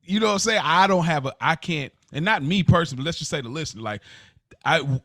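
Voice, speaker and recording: An American man in his thirties co-hosting a podcast in casual, slangy talk, telling things in an animated way and repeating words for emphasis. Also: fairly high pitch, fast talker, no echo, clean studio sound. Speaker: podcast co-host. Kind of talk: casual unscripted podcast chat.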